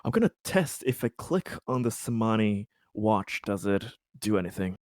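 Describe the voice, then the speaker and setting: A man's voice: fairly low pitch, sing-song, moderate pace, a little mellow, no echo, clean studio sound. A man chatting casually as a player in a tabletop roleplaying game, often repeating his words. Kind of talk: casual chat.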